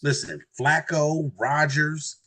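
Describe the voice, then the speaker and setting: A man's voice: fairly high pitch, fairly even intonation, slow, a bit bright. A man in casual, joking podcast chat. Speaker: podcast co-host.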